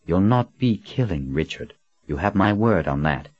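Stern woman in command, controlled menace, read by a man; low voice, lo-fi. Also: animated, moderate pace, a little mellow, no echo, faint music behind. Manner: firm, threatening, controlled. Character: stern commanding woman leader, cold and firm.

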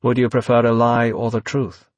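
A man reading an audiobook, voicing a calm, cryptic masked man who speaks in a neutral, measured way with a husky voice. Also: lowish voice, no echo. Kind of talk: audiobook character voice.

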